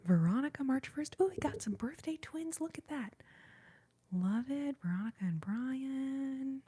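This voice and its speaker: A young woman whispering softly in a chatty, rambling ASMR livestream, reading out viewer comments and giggling now and then, close to the mic. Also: high-pitched, lively intonation, unhurried, a little hiss or hum. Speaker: ASMR streamer.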